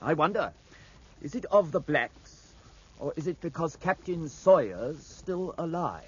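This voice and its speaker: An actor playing an insinuating, melodramatic ship's surgeon with a husky voice in a 1950s radio drama. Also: male voice, fairly high pitch, big pitch swings, measured pace, full character voice. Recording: dull old radio recording.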